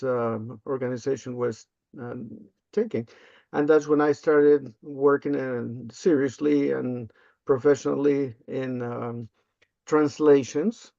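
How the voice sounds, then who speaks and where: An older man from Peru, a native Spanish speaker, answering interview questions casually and haltingly with frequent ums and uhs. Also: medium pitch, creaky, mellow, no room sound. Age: 50s or older. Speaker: interview guest, language access specialist. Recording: slightly dull, lo-fi close audio.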